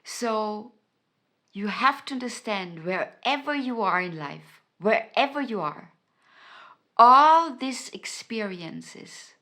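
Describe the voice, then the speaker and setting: Slow, bright-voiced woman with an Austrian accent. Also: fairly high pitch, lively intonation. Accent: Austrian German. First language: German. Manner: earnest and searching for words, with many restarts. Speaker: YouTube host. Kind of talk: motivational talk to camera.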